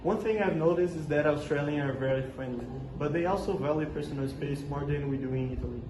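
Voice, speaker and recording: A man's voice: on the high side, quick pace, a little mellow. A young man answering interview questions in a scripted school role-play, reading prepared answers steadily. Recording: echoey room with noticeable noise.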